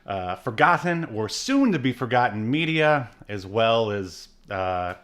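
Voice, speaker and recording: A man hosting a podcast in casual chat, joking and pausing often on fillers. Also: mid-range voice, big pitch swings, fairly bright tone. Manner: joking, with frequent filler pauses. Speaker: podcast host.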